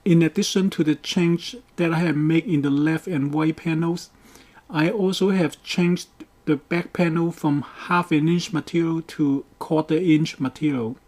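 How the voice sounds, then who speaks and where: Male how-to narrator, mellow tone, unhurried and careful delivery. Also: fairly high pitch, fairly even intonation. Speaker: DIY boat project presenter.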